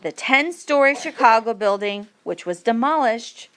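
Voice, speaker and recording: A woman reading an educational passage aloud as a teacher, with deliberate, measured phrasing for learners. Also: fairly high pitch, animated, smooth. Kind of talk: read-aloud educational narration.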